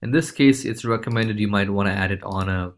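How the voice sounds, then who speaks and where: Low-pitched man giving a casual software tutorial. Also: fairly quick. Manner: informal, loose, repeats check-in tags like okay and right. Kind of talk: casual screencast walkthrough.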